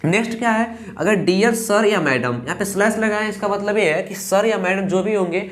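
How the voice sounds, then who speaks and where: A young man with an eastern Uttar Pradesh Hindi accent teaching a lesson, reading English lines slowly and carefully. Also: sits high, a bit bright. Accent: Hindi speaker from eastern Uttar Pradesh. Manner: slow and careful when reading English lines, fillers "ठीक" between steps. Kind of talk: explaining a lesson.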